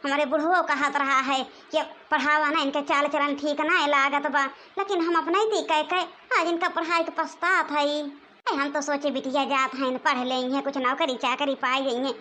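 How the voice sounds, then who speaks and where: A woman's voice: high voice, fairly even intonation, moderate pace, pushed and bright, slight background noise. A woman voicing a worried, scolding village mother-in-law in a cartoon comedy, with an Awadhi accent and a lamenting, nagging manner. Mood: exasperated, regretful. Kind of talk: animated comedy dialogue.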